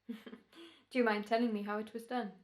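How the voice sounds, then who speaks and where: A woman's voice: on the high side, level tone, a little mellow, close to an everyday voice. A woman playing a courteous, sinister, knowingly amused doctor in an old-time radio drama. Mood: sly, pleased. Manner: polite, measured, knowingly amused. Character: suave, sinister, courteous doctor scheming over murder.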